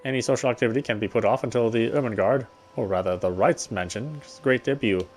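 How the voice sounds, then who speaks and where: A man's voice: medium pitch, fairly quick, slight background noise. A man reading first-person visual novel narration aloud as he plays the game. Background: background music.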